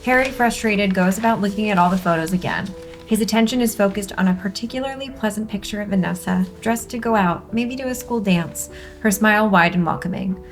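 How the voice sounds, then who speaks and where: A woman's voice: medium pitch, brisk, soft background music. A woman reading screenplay stage directions as scripted narration at a table read.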